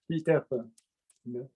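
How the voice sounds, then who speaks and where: A man with a Japanese accent giving an informal spiritual talk in English as a Zen master, halting, repeating his phrases and chuckling often. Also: fairly high pitch, warm tone, slight background noise. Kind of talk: informal spiritual talk over online meeting. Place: online video meeting.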